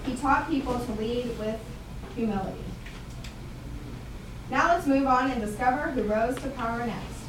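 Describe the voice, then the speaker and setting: A woman's voice: a little high, normal speed, pauses often, lightly acted. A woman playing an instructive schoolteacher in a scripted puppet show. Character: schoolteacher lecturing on ancient civilizations, brisk and instructive. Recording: roomy echo, distant mic, clear noise.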